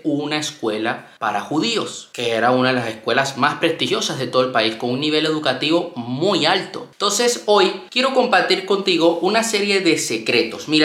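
Bright-voiced man in an echoey room. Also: fairly high pitch. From Venezuela. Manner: earnest, addresses viewer directly. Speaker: YouTube self-help creator. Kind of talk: motivational talk to camera.

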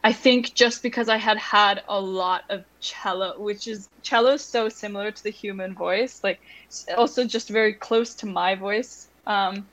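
A young woman, a musician guest in an interview, speaking softly and hesitantly with many ums and likes. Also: medium pitch, fairly even intonation, normal speed, rough recording. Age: young adult. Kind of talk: conversational interview.

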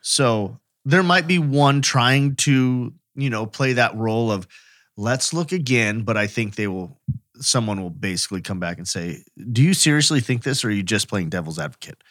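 A man's voice: mid-range voice, animated, normal speed, fairly bright tone, no echo, studio quality. A man co-hosting a true crime podcast, talking casually and conversationally. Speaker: podcast co-host.

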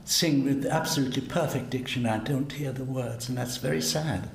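A man's voice: medium pitch, normal speed, gravelly. Older guy chatting about music, wry and musing, roomy sound with faint hum. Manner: musing, asks tag questions, chuckles at himself. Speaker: cellist and guest. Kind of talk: conversational interview.

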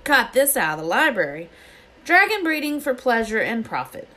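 A woman acting out a big storybook gamekeeper, a proud and friendly man with rustic, blustering talk. The pitch is pushed up from the reader's own and swings about in lively fashion. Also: moderate pace, exaggerated voice. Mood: proud, eager.

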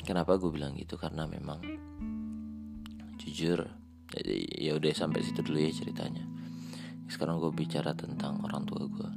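Man, late 20s, deep gravelly voice, hesitant reflective storyteller, guitar music behind. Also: flat delivery, moderate pace, long pauses. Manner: reflective, hesitant, many fillers and restarts. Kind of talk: personal storytelling monologue.

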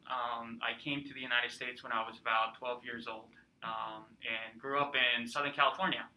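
A man from Peru in his thirties, chatting as a podcast guest, telling stories warmly with lots of fillers. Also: medium pitch, flat delivery, moderate pace, rough recording. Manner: warm storytelling with many fillers. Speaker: guest, cultural center director. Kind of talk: casual interview chat.

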